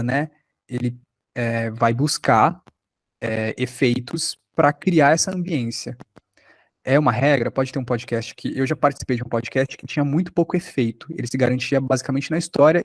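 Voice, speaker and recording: A man's voice: medium pitch, no echo. A man with a Brazilian accent giving an informal online lesson, explaining things as he thinks aloud. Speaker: workshop teacher.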